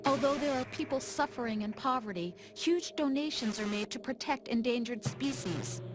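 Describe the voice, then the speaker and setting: A woman voicing an artificial intelligence in a video game, calmly lecturing with patronizing condescension. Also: on the high side, moderate pace, close to an everyday voice. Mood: calm, condescending. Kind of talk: video game cutscene acting. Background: music.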